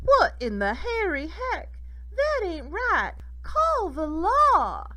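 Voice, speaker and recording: A woman's voice: very high voice, huge pitch swings, slow pace, sharp tone, pitched above the performer's own voice, full character voice. A woman voicing an indignant old Southern lady in a folksy, scandalized storybook manner. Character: two indignant old Southern beach-dwelling sisters.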